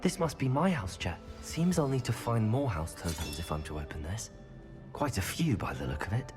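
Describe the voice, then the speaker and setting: A man's voice: fairly low pitch, sing-song, normal speed, over music. A man voicing a curious, determined teenage student wizard in a video game, musing aloud to himself.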